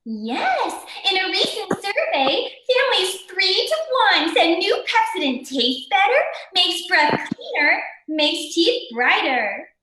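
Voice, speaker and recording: A woman performs an eager 1940s radio pitchwoman. Her voice is extremely high and bright, bouncing up and down as she sells. The recording has a clear room echo. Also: very animated, slow pace, hardly any pauses, slight background noise, heavily acted. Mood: perky, eager. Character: eager, perky female 1940s radio advertiser. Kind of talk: 1940s radio commercial acting.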